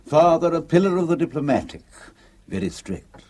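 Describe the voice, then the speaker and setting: An actor playing a suave, smooth-talking male schemer in a radio drama, condescending and fond of saying dear boy. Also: on the high side, big pitch swings, normal speed, darkish tone. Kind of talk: 1970s radio drama acting. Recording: old radio broadcast with faint hiss.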